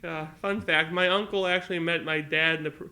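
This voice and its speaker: A man's voice: fairly high pitch, a little fast. A young man with a nasal voice, co-hosting a casual podcast chat and rambling through stories. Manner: rambling, animated storytelling with put-on voices. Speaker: podcast co-host.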